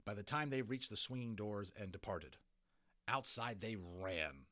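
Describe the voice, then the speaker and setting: A man's voice: on the low side, lively intonation, measured pace, bright, forceful tone, no room sound, studio quality. A man reading a children's novel aloud as dramatic storybook narration. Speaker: amateur audiobook reader.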